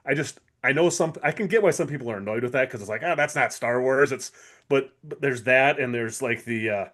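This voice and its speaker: Man with a mid-pitched voice, chatting eagerly. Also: brisk, fairly bright tone. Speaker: podcast co-host. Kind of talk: casual fan chat about TV.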